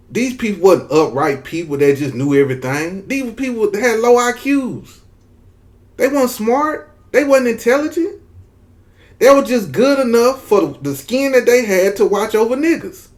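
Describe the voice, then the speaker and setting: A man's voice: high voice, lively intonation, normal speed. A man from Mississippi with a Southern drawl, giving emphatic, repetitive opinion commentary straight to his viewers. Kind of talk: casual opinion commentary to camera.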